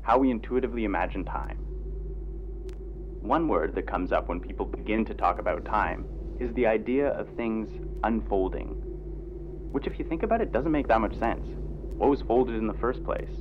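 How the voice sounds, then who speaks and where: Man acting as reflective male lecturer, low pitch, measured scripted narration, muffled lo-fi sound, clear hum. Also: close to an everyday voice. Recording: dull lo-fi recording with clear hum.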